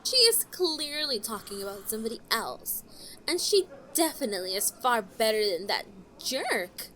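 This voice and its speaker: A woman's voice: on the high side, sing-song, moderate pace, pushed and bright, low-quality recording. A woman playing a feisty, outspoken, teasing adventurer in a fantasy audio drama, given to exclamations. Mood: indignant.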